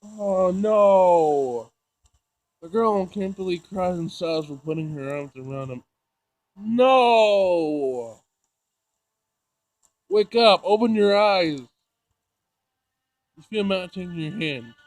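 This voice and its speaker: High-voiced man reading dramatically, slow and emotional. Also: animated, darkish tone, no echo. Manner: emotional, halting read-aloud with dramatic outbursts. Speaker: gamer reading a visual novel aloud. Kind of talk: let's play narration with loud reactions.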